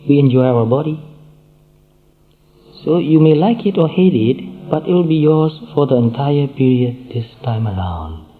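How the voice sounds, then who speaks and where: A man giving a Buddhist dharma talk, speaking in measured phrases with frequent pauses and repetition. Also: on the high side. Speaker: Buddhist teacher. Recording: tinny phone-like sound.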